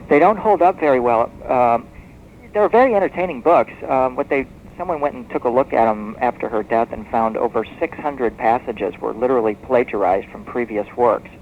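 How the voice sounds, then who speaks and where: A man speaking as an expert guest in a radio interview, explaining history as a story in a measured, discursive way. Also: mid-range voice. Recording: muffled noisy shortwave radio tape.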